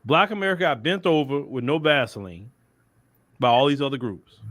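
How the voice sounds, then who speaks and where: A man's voice: mid-range voice, animated, fairly quick, fairly bright tone, dry recording. A man with a Southern Texas accent giving an emphatic, colloquial opinion monologue, often repeating phrases and acting out mock dialogue. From Port Arthur, Texas. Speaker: commentator.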